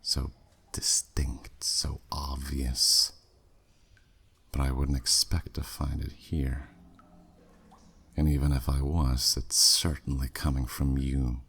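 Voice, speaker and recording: A man's voice: flat delivery, takes it slowly, long pauses, a bit bright, exaggerated voice. A man voicing a flirty, menacing demon who taunts a captive in a teasing, smug way, in a scripted ASMR roleplay. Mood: intrigued, suspicious. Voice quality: very deep, husky, breathy at phrase ends. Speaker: audio roleplay performer.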